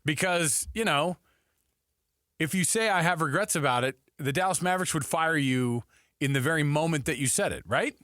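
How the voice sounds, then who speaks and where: A man's voice: on the high side, moderate pace, fairly bright tone, no room sound, clean studio sound. A man with an American accent co-hosting sports talk radio, emphatic and conversational.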